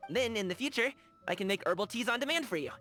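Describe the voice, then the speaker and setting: Animated, eager young man over music. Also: high-pitched, quick pace. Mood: cheerful, eager. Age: young adult. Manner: casual, chatty, slangy, eager. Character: cheerful, earnest young male lion-dancer and tea lover. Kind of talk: animated video game character dialogue.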